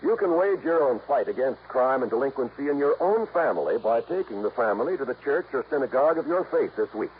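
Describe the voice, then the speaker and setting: Male announcer, American, earnest, formal, measured, lively intonation, 1950s radio style, tinny narrow-band sound. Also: medium pitch. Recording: tinny, faintly noisy old radio broadcast.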